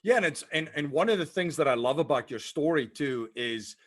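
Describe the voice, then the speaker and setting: Brief: male podcast host, eager, slightly raised pitch, dry close sound. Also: expressive, a little fast, no room sound. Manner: enthusiastic, repeats words while finding phrasing. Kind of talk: casual interview chat.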